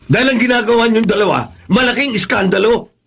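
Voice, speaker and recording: An actor playing a hot-headed, pushy young son in an emphatic Tagalog radio drama style. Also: male voice, high-pitched, brisk, vocal fry, a bit bright, slightly rough, full character voice. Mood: angry, taunting. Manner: emphatic, melodramatic, confrontational.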